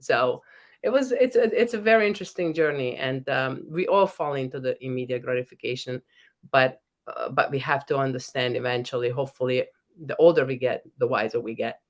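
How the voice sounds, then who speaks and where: A woman's voice: a bass voice, a little fast. A middle-aged woman from Hungary with a Hungarian accent, a professor and author talking earnestly as a podcast guest, often restarting her sentences. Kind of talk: podcast interview.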